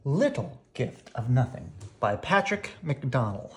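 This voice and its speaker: A bedtime story read aloud slowly and expressively. The pitch swings widely, with a theatrical, playful delivery and deliberate pauses, at a middle pitch. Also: sing-song. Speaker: storybook reader.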